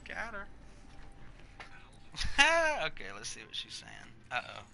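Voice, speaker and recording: Bright-voiced man in his thirties streaming. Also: a little high, huge pitch swings, takes it slowly, gravelly. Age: 30s. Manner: loose, chatty, swears freely and laughs at himself. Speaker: game streamer. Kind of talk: casual live gameplay commentary.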